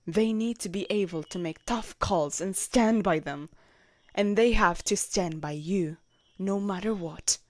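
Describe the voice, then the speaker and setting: A woman's voice: mid-range voice, dry recording. A woman playing a devoted, lovestruck knight, shy and conflicted, in an intimate romantic audio roleplay, speaking hesitantly and tenderly. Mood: dutiful, reserved. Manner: hesitant, tender, breathy whispers at times.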